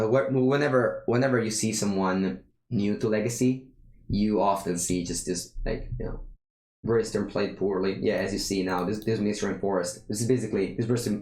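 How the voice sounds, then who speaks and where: A man's voice: fairly low pitch, darkish tone, reverberant, poor audio. A young man from Italy with an Italian accent, casually commenting on his own card game as he plays, thinking aloud and often restarting his sentences. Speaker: streamer playing a card game.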